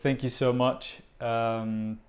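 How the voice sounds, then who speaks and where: A man's voice: mid-range voice, flat delivery, slow, soft background music. A man whose first language is Portuguese, giving a casual vlog update about his art, hesitant and self-deprecating with frequent fillers. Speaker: artist giving a channel update.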